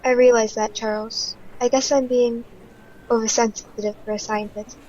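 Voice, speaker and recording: Casting: woman as anxious, sensitive scientist for radio drama, highish voice, slightly muffled recording. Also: level tone, moderate pace, mellow, slight background noise, close to an everyday voice. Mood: worried. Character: sensitive, worried female scientist. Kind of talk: scripted sci-fi radio play.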